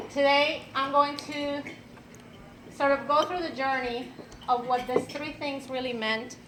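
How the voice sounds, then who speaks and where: 50s lady telling her story at a dinner event, slowish, lots of ums, dishes clinking in the back. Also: a little high, noisy background. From Venezuela. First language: Spanish. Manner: unhurried, with frequent filler sounds and light laughs. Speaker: speaker at an event. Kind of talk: personal storytelling talk. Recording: clattering dishes and cutlery behind.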